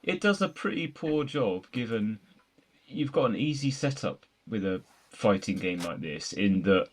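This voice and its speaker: Man, middle-aged, lowish pitch, podcast host, casual discussion, wordy. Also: lively intonation, measured pace, slight background noise. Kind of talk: casual film and game discussion.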